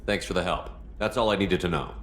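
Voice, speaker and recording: A deep-voiced steady commander. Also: male voice, brisk, a little hiss or hum. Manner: calm, direct, businesslike. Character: steady male starship commander. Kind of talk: video game cutscene acting.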